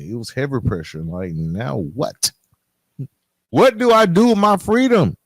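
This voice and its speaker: A middle-aged man speaks as a solo podcast host in a dry, close-sounding space. His voice sits at an average pitch, and he talks reflectively and conversationally to his listeners, leaning on key words for emphasis. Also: no echo. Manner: conversational, emphatic, often checks in with "right?".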